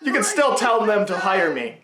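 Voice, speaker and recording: A man's voice: high-pitched, pushed and bright. Chatty man in an echoey room. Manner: rambling, chatty, self-interrupting. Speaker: video creator giving commentary. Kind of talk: casual, unscripted commentary on own series.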